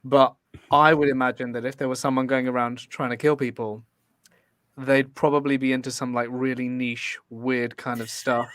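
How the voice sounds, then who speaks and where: A man's voice: medium pitch, flat delivery, moderate pace, a bit bright, no echo. A man making his case as a guest in a casual debate, hesitant and rambling with many fillers. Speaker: podcast guest.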